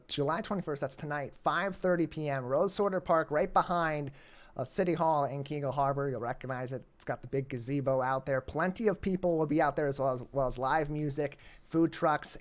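A young man hosting a local community TV interview over a video call, with a clear, announcer-like delivery. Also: on the high side. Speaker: interview host. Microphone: webcam or laptop mic on a video call.

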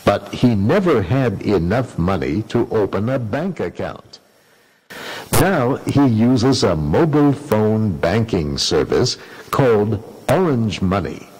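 Husky male radio news reader. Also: on the low side, very animated, a little mellow, low-quality recording. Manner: measured broadcast delivery. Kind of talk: scripted news reading.

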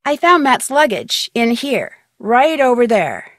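A woman voicing an inquisitive, polite young female detective in a video game, with expressive delivery. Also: on the high side, measured pace, dry recording, clean studio sound. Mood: curious, probing.

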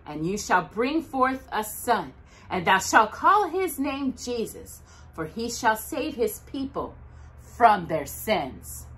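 A middle-aged woman hosting a children's storytime, reading aloud in an expressive, sing-song way to young listeners. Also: on the high side, slow, poor audio. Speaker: storytime host. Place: indoors at home by a brick fireplace.

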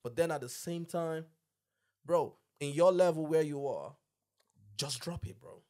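A man's voice: a little high, a little slow, darkish tone. A man, chatting casually and emphatically as a podcast host, full of slang, on a close studio mic. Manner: emphatic, slangy, repeats tags like "you know what I'm saying". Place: small indoor home-style podcast studio. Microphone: close boom-arm podcast microphone with headset.

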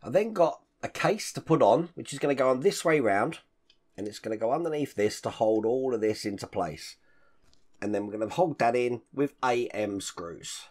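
Hoarse man with a lively delivery. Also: moderate pace. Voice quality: deep rough voice with occasional coughs. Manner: chatty, explains each step while handling parts. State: hoarse, croaky voice after illness. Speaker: model-building channel host. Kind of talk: casual hands-on build walkthrough. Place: home hobby room full of collectibles.

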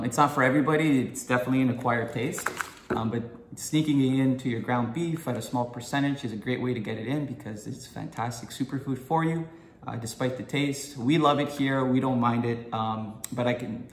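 Young male vlogger, chatty and upbeat, mid-pitched, roomy kitchen sound with faint hiss. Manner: chatty and enthusiastic, many "um"s. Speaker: food vlogger, guest host. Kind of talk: casual to-camera vlog. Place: home kitchen, indoors.

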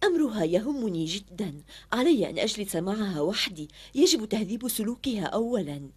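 A woman's voice: medium pitch, lively intonation, fairly quick, husky. A woman playing a strict, determined young female teacher in a dubbed cartoon, speaking firmly and earnestly. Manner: firm, earnest, commanding. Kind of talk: Arabic-dubbed cartoon acting.

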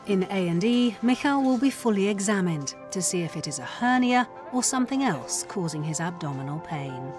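Calm woman narrating a documentary. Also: mid-range voice, moderate pace, hardly any pauses, loud music behind the voice.

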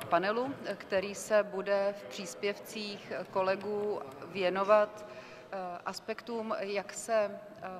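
Hesitant woman chairing a panel, echoing hall. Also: mid-range voice. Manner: hesitant, halting, with many fillers. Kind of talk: formal panel introduction. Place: large ornate hall indoors. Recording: reverberant hall with background noise.

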